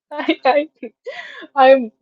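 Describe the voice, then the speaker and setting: A woman's voice: high-pitched, flat delivery, very slow, muffled recording. A woman in her twenties chatting casually as an interview guest, thinking aloud as she talks. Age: 20s. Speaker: guest, audio drama writer.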